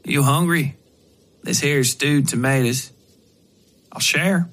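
A man's voice: mid-range voice, takes it slowly, fairly bright tone, voice pushed up. A man voicing a plain-spoken, stubborn old country widow in a storybook tale, folksy and no-nonsense. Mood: folksy, weary.

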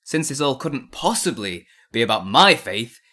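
A man's voice: medium pitch, big pitch swings, moderate pace, bright, forceful tone. A man playing a defiant, zealous nineteen-year-old blacksmith's apprentice in an audio drama, answering an interrogation in a terse, bitterly sardonic manner. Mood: angry.

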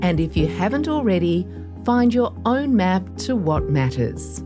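Woman, podcast co-host, lower voice, animated pitch, music playing behind. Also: measured pace. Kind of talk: scripted podcast intro and chat.

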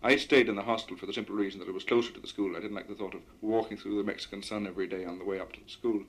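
Low-voiced man asking thoughtful questions. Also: steady tone. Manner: measured, articulate, thoughtful questions. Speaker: interviewer. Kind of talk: radio discussion.

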